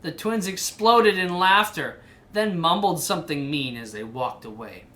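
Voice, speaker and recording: A woman reading a children's storybook aloud in an animated style. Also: on the low side, a little slow. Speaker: storybook reader.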